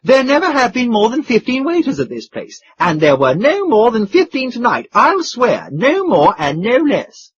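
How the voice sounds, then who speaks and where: A man doing an excitable boyish young duke. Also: very high voice, moderate pace, hardly any pauses, breathy edge, dull audio, pitched above the performer's own voice, full character voice. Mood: insistent. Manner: eager, excited.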